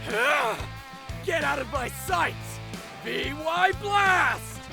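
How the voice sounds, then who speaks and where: A man's voice: extremely high pitch, expressive, gravelly, exaggerated voice. An actor playing a cruel, hot-tempered young bully with superpowers in an audio drama, sneering and drawing out his taunts. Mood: furious. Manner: sneering, explosive, drawn-out shouted taunts. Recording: loud music underneath.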